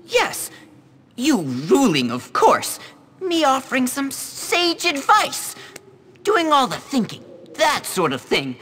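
Pompous high-pitched nerdy schoolboy. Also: male voice, wildly swooping pitch, slow pace, bright, forceful tone, exaggerated voice. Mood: grandiose scheming. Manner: pompous, melodramatic, wordy. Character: scheming, self-important, panicky nerdy teenage schoolboy. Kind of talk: video game cutscene acting. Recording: reverberant hall, faint noise.

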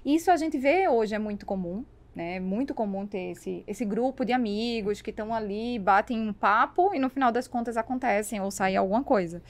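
A woman's voice: fairly high pitch, normal speed, few pauses. A woman in her thirties with a Brazilian accent, chatting emphatically on a podcast and checking for agreement. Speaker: podcast panelist. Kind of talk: casual chat. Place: small foam-treated podcast studio. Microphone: close studio mic on boom arm.

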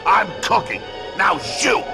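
An actor playing a grumpy, bossy camp supervisor and cook in a cartoon video game. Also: male voice, high-pitched, sing-song, takes it slowly, gravelly, full character voice. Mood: irritated, bossy. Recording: loud game music behind.

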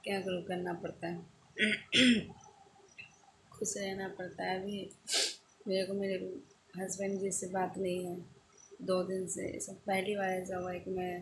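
A young woman in her twenties, hoarse from a cold, talking casually to her phone in a low, subdued way on a rooftop. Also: flat delivery, unhurried, long pauses, fairly bright tone, a little hiss or hum, rough recording. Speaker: vlogger. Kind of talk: casual vlog talk to camera. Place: rooftop terrace outdoors. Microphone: phone at arm's length.

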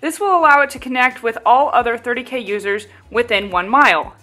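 A woman with a fairly high voice narrates a product tutorial. She reads scripted, step-by-step instructions, with faint music playing behind her. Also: a little fast, sharp tone. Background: background music.

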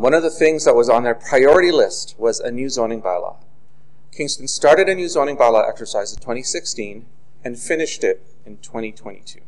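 A man, a professional planner, giving a formal presentation at a podium microphone in a council chamber, speaking loudly and emphatically with many "uh"s. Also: mid-range voice, poor audio. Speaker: consulting planner.

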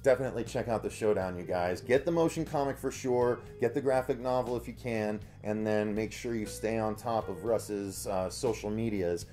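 A man's voice: on the low side, normal speed, hardly any pauses, warm tone, soft background music. An American man in his thirties co-hosting a review show, chatting casually to the camera. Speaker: co-host of a comic review show. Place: small indoor room lined with comic shelves.

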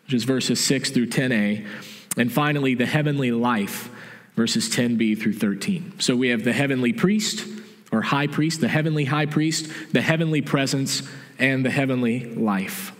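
A man's voice: medium pitch, moderate pace. Man preaching in a reverberant church. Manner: explains calmly, restates key points. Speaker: pastor. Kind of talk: sermon. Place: church sanctuary with stage. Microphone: stage mic from a far camera.

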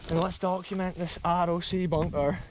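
A man's voice: high voice, flat delivery, normal speed, slight background noise. A Scottish man in his thirties, exploring an open field and talking eagerly to viewers while filming himself on an action camera. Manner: enthusiastic, addresses viewers as folks. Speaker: explorer vlogger. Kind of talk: walking tour commentary. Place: open grassy field outdoors. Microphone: action camera held close.

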